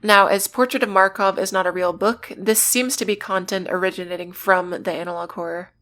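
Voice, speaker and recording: A woman's voice: medium pitch, steady tone, a little fast, a bit bright. A woman narrating a scripted video essay as its presenter, with casual, conversational asides. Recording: music behind the voice. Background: background music.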